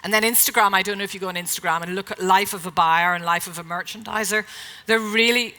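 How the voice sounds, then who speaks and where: A middle-aged woman speaks at a conference in a bright, clear voice that carries across the hall. She talks in a chatty way, full of ums and quick restarts, and keeps her pitch in a comfortable middle range. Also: steady tone. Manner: chatty, filler-heavy, self-correcting; loud projecting voice. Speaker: retail consultant speaker. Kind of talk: conference talk. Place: event hall.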